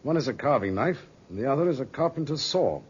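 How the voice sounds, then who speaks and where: A man's voice: medium pitch, animated, fast talker, natural voice. An actor playing a polite, astute male private detective in a 1950s radio drama, deferential and a little wry. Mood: astute, confiding. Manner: deferential, slightly hesitant, wry. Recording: muffled 1950s radio recording, faint noise.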